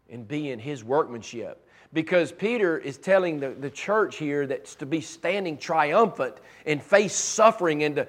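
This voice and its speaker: Male pastor, high and raspy, fervent, with repeated emphatic lines. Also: a bit bright. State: raspy voice from cheering and allergies. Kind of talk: preaching a sermon.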